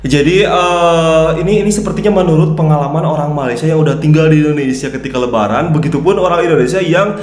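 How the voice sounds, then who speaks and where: A man's voice: on the high side, quick pace, hardly any pauses, a little mellow, roomy sound. A young man with a stuffy, nasal voice from a cold, hosting a casual reaction video in a chatty, upbeat way. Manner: chatty, upbeat, says "guys" often.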